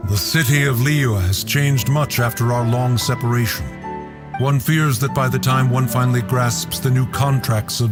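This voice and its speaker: A man's voice: mid-range voice, close to an everyday voice. A man voicing a wise, reflective ancient immortal in a video game, speaking in a stately, archaic, formal way.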